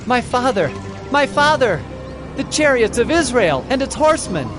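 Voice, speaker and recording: A man's voice: very high-pitched, big pitch swings, normal speed. A man playing a solemn, devoted prophet of ancient Israel in a dramatized scripture production, declaiming with fervor and deliberation. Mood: anguished cry. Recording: background music under the voice.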